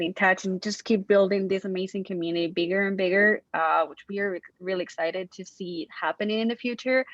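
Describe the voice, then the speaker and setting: A woman's voice: on the low side, no echo. Spanish-accented young woman, dull call audio. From Spain. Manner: warm and effusive, with many restarts. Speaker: student society officer closing the event. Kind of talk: unscripted closing remarks on a video call.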